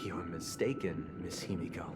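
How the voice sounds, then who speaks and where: A man playing a composed, solemn idealist leader in a video game, speaking in a measured, formal, oratorical way. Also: low-pitched, steady tone, natural voice. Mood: persuasive, grave. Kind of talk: dramatic video game voice acting. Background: background music.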